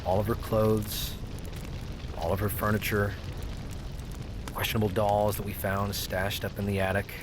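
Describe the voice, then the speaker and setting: A man's voice: on the low side, monotone, unhurried, long pauses, noisy background, natural voice. A man playing an uneasy grown grandson who narrates an audio drama and talks in a hesitant way. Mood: reflective. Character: grown grandson, uneasy, relieved, reluctant with his family. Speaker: narrator and lead character. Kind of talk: first-person audio drama narration and dialogue. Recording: music bed under the voice.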